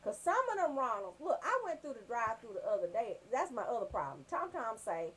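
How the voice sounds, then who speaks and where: A woman's voice: on the high side, big pitch swings, a little fast, a bit bright, slightly rough, low-quality recording. A woman doing a casual, comedic rant as a radio host, in a brash, profane, storytelling way.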